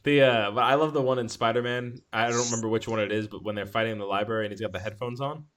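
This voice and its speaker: A man chatting casually as a podcast co-host about film, halting and rephrasing as he goes. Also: mid-range voice, fairly even intonation, fairly bright tone. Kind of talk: casual film discussion chat.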